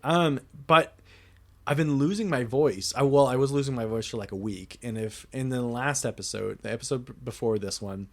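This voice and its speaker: Easygoing male podcast co-host, mid-pitched, loose casual talk with the odd swear. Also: moderate pace. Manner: laid-back, swears casually, laughs between phrases. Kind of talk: casual chat.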